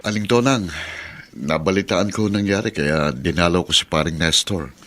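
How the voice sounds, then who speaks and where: Actor as a low-voiced, polite family friend. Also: male voice, normal speed, over loud music, close to an everyday voice. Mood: sympathetic. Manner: polite, conversational. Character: concerned, respectful male family friend working abroad. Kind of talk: Tagalog radio drama acting.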